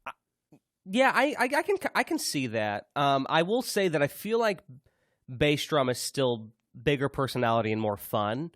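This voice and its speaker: Young male co-host, animated pitch, playful, dry home recording. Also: moderate pace, no room sound. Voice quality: can go very low. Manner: playful, imitates instrument sounds. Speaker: podcast co-host. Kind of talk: casual chat.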